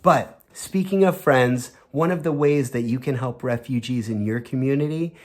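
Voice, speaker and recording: A man teacher reading a storybook aloud to young children, expressive and chatting directly to them with simple questions. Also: mid-range voice, normal speed.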